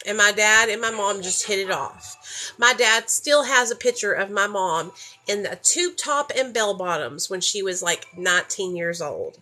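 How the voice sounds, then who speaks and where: A woman's voice: mid-range voice, measured pace, sharp tone. A young woman from the rural United States, candidly telling her personal story to a group and addressing them directly. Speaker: speaker sharing her recovery story.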